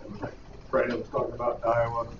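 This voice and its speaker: A man gives short, flat answers on a fishing panel. He is far from the microphone in an echoey room, with clear background noise. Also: medium pitch, quick pace, dull audio. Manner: brief, agreeing. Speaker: charter captain.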